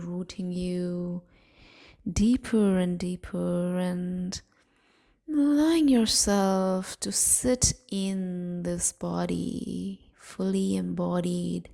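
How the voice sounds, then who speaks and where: A woman leading a guided sleep meditation in a hushed, soothing, softly breathy way, leaving long pauses. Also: fairly low pitch.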